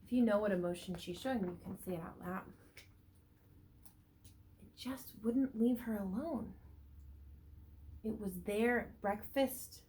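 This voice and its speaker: A woman teacher reads a picture book aloud to young children, stretching words for emphasis and pausing to ask them questions. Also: medium pitch, a little mellow, a little hiss or hum. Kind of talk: read-aloud storytelling with asides and questions to young listeners.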